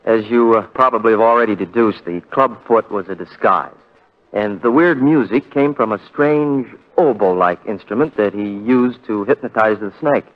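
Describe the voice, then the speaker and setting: A man acting as a condemned man who tells his tale in a folksy, rueful way. His low voice is chatty and a little hesitant. It comes through a dull, muffled 1940s radio recording. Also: normal speed, natural voice. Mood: rueful, confiding. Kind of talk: 1940s radio drama, folksy first-person storytelling.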